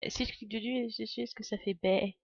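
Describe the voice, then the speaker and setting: A woman's voice: mid-range voice, fairly even intonation, brisk, no room sound, dull audio. Chatty woman streaming, dry close mic. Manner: chatty, informal, jokey. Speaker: game streamer. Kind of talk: casual live game commentary.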